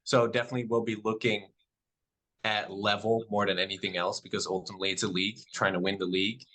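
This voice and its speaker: Man, from the Netherlands, native Dutch speaker, no accent, padel club owner, podcast guest, measured and soft-spoken, frequent ums, lowish pitch, bright tone. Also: flat delivery. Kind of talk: podcast interview answers.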